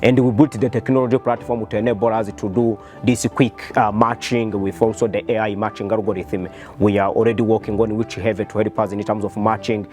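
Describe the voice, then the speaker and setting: A man's voice: fairly low pitch, level tone, a little fast, a little mellow, soft background music. A man with a Rwandan accent speaking as a company founder, explaining his business in an earnest interview style. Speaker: startup founder and CEO.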